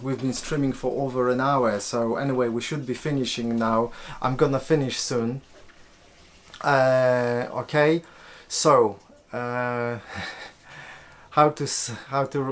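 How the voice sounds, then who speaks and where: Polish man chatting on a live stream. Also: mid-range voice. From Poland. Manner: casual, chatty, frequent fillers and restarts. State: sweating, warm room. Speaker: host.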